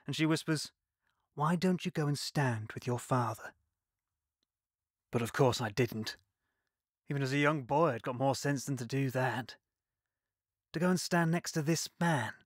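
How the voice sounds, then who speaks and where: A man's voice: a little high, dry recording, clean studio sound, natural voice. An English actor playing a man recalling his father and his childhood, in a reflective radio play monologue.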